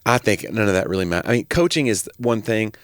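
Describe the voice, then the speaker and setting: Man, forties, American accent, lower voice, wry banter, podcast co-host, close dry recording. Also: animated, quick pace, no echo. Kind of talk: casual chat. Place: small home room, indoors. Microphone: close podcast microphone on boom arm.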